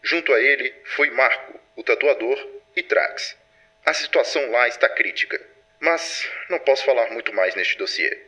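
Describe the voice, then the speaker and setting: A man playing a stern, controlled patrol commander, measured and authoritative, in an audio drama. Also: medium pitch, bright, forceful tone, lightly acted, poor audio. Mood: sober. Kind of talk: audio drama acting, dossier narration.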